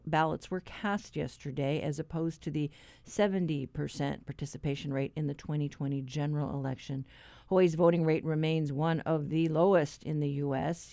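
A woman's voice: deep voice, warm tone, dry recording. Woman hosting radio, dry close sound. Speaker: radio host. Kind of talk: conversational public radio hosting. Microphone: close studio microphone.

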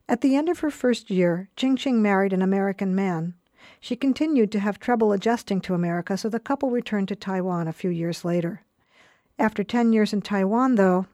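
A woman narrating a scripted radio profile in an even, storytelling manner. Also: medium pitch, no room sound, studio quality. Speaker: radio program managing director.

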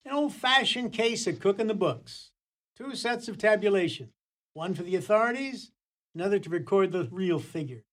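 A man's voice: sits high, expressive, moderate pace, a bit bright. A man playing a sly, playful detective's sidekick in a comedy whodunit radio play, arch and teasing. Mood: teasing, smug.

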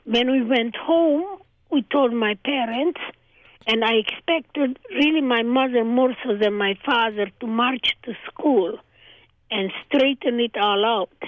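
An older woman from Transylvania, a native Hungarian speaker, recounting her childhood in an interview in measured, short phrases. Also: a little high, no echo. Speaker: guest. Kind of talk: oral history interview. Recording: dry tinny phone line.

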